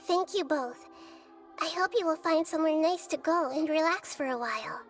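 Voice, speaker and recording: A woman voicing a gentle, childlike little deity in a video game, speaking in a measured, thoughtful and reassuring way. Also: sits high, faint music behind, lightly acted. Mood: calm, understanding. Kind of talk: video game cutscene acting.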